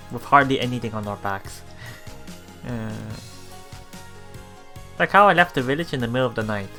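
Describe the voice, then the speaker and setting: A man's voice: mid-range voice, lively intonation, a little slow, long pauses, pushed and bright. A man hosting a let's play, reading visual novel text aloud with casual, musing commentary. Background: game music.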